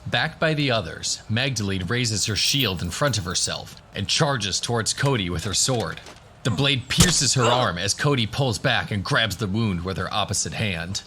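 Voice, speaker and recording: A man's voice: lowish voice, pushed and bright, a little hiss or hum. A man giving scripted narration for a fantasy audio drama. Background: music.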